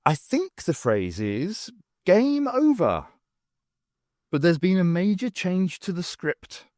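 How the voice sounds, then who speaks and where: A man voicing a brisk, clever adventurous woman who explains things in an audiobook. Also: high voice, big pitch swings, darkish tone, no room sound, clean studio sound, pitched above the performer's own voice, exaggerated voice. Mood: wry. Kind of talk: audiobook character voice.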